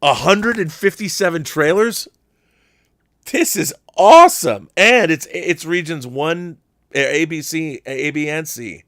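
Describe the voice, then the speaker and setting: A man's voice: fairly high pitch, animated, unhurried, a bit bright, no echo, studio quality. A man hosting a live stream in a casual, chatty style, effusive and full of enthusiastic exclamations. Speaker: host of a live stream show. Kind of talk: casual unboxing chat and letter reading.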